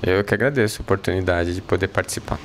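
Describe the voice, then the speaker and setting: A man, a physician guest, explaining his research in a thoughtful way with frequent filler pauses. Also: low-pitched, slight background noise. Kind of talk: interview answers.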